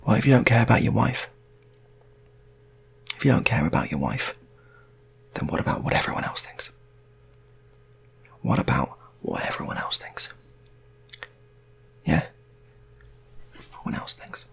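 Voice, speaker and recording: Guy doing a patient cop negotiator, low gravelly voice, keeps repeating himself to talk someone down. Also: big pitch swings, slight background noise, full character voice. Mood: gentle pleading. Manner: coaxing, repetitive, halting. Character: earnest, patient male police hostage negotiator.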